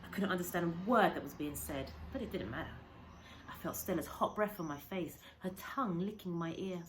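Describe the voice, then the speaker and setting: Low-voiced woman reading a story dramatically. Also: a little hiss or hum. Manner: dramatic, emphatic, with deliberate pauses and varied volume. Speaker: primary school teacher reading to a class. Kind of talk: expressive children's storybook read-aloud.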